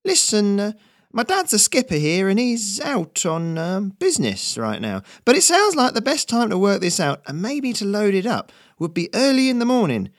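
A man's voice: high-pitched, wildly swooping pitch, normal speed, no echo, studio quality, voice pushed up. A man voicing a scrappy young boatman's son with a pushy, eager sales patter. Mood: eager hustling. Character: young male boat kid, scrappy, eager hustler.

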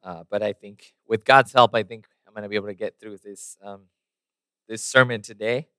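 Pastor preaching with a strained voice. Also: male voice, lowish voice, steady tone, moderate pace, fairly bright tone, smooth, no room sound, clean studio sound. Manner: casual, many fillers and restarts. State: losing his voice. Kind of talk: sermon with prayer.

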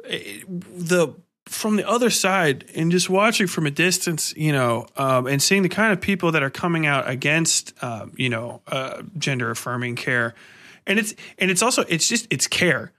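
A man's voice: a little high, animated, moderate pace, sharp tone. An American man hosting a podcast in casual conversation, blunt and emphatic, with plenty of swearing. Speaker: podcast host.

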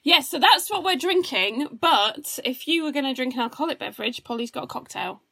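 High, husky-voiced woman chatting. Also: lively intonation, fairly quick, sharp tone. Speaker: podcast co-host. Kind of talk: casual chat.

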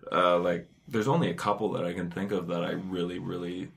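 A young man with a fairly low voice talks in a casual podcast chat. He is opinionated and banters freely, like a student talking with friends. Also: steady tone, a little fast, creaky. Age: young adult.

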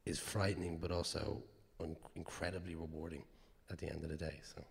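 Irish guy, low raspy hoarse voice, rambly and candid, swears a bit. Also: fairly even intonation, a little fast, a little hiss or hum. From Ireland. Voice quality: naturally hoarse voice. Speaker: actor guest. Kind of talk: live Q&A answers. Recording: long hall reverb.

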